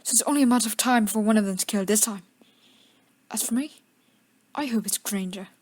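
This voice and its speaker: A woman's voice: medium pitch, a little fast, a little mellow, lightly acted. A woman playing a spiteful, arrogant schoolboy wizard bully with a sneer in a fan audio drama. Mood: smug, conspiratorial.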